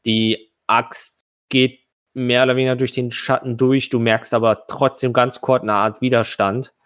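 A man's voice: mid-range voice, level tone, a little slow, a bit bright, clean tone, no room sound. A man running a tabletop game, improvising narration and rulings, often searching for his words. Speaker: game master.

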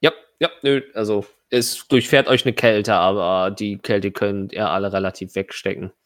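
Low-voiced man as game master. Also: fairly even intonation, normal speed, a bit bright, no echo, clean studio sound. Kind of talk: improvised tabletop game narration in German.

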